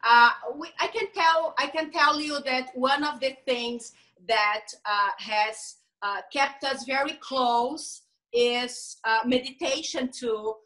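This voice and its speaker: A woman with a Brazilian Portuguese accent, a lawyer and coach, speaking carefully in an interview, with many pauses and fillers. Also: sits high, sharp tone, rough recording. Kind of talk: interview over video call.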